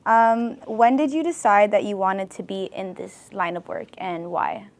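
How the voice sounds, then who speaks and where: A woman's voice: mid-range voice, lively intonation, a little slow. Female interviewer, friendly and upbeat, short casual questions.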